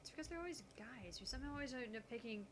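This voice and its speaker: A woman's voice: on the high side, lively intonation, racing. A woman co-hosting an amateur read-aloud of a visual novel, stumbling and correcting herself.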